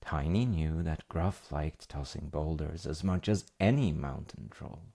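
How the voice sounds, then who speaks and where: A man playing a tender dad who reads a bedtime fairy tale in a gentle, measured storybook style. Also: very deep voice, gravelly, breathy edge, exaggerated voice. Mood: gentle, storytelling.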